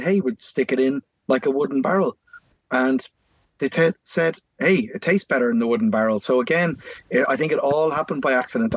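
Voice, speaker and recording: A man's voice: mid-range voice, slightly rough, no room sound, lo-fi sound. Irish man telling whiskey history. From Ireland. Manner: rambling, explanatory, hesitant with fillers and restarts. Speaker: guest, whiskey maker. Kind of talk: casual conversational storytelling.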